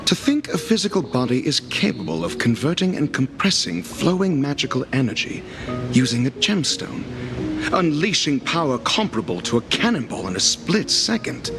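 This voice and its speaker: A man's voice: medium pitch, big pitch swings, normal speed. A man playing a confident, bookish intellectual in a video game, formal and theatrical, lecturing with provoking courtesy. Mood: impressed. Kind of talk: video game character acting. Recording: game audio with music behind.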